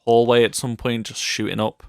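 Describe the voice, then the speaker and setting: A man with a mid-pitched voice chats as a podcast co-host. His delivery is offhand and wry, in casual conversation. The recording is dry, with no real room sound. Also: little pitch movement, normal speed, vocal fry, a little mellow, dry recording. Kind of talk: casual film discussion podcast.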